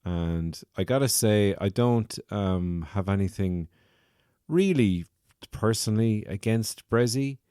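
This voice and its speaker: A low-voiced man hosts his own comedy podcast, wandering from point to point with wry, self-mocking asides. His deep voice comes across dry and close, with no room sound. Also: slow pace, dark tone, smooth, no echo. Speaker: podcast host. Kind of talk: rambling solo comedy podcast monologue.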